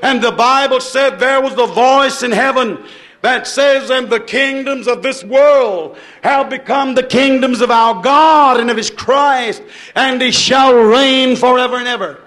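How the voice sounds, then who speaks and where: A man's voice: extremely high pitch, unhurried, a bit bright. A man preaching an impassioned sermon, quoting scripture in emphatic, repetitive, declamatory phrases.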